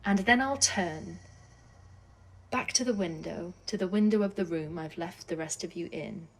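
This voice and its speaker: An actress playing a vengeful, coolly menacing young woman in an intimate audio drama, speaking in a deliberate, pointed way. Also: on the low side. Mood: menacing, predatory.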